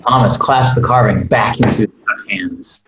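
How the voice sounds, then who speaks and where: A man's voice: medium pitch, measured pace. A man reading stage directions as narrator at a script table read. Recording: roomy echo with faint noise.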